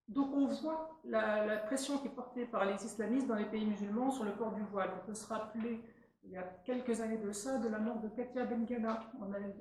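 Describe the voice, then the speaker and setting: A woman in her sixties from Tunisia, giving an earnest, halting public talk at a meeting as an invited speaker, with many restarts. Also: medium pitch, a little mellow. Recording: very echoey room, faint noise.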